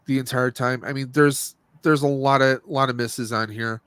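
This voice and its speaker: A man's voice: mid-range voice, fairly even intonation, normal speed, a bit bright, clear, resonant tone, no echo. A man hosting a casual movie review podcast, speaking in a blunt, opinionated and rambling way. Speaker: podcast host.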